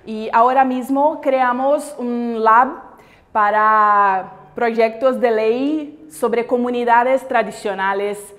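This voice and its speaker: Slow, high-voiced Brazilian woman in a hall. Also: steady tone, a bit bright. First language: Portuguese. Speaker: city councillor, interviewee. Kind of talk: interview answers. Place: large bright indoor hall. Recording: echoey hall, music behind.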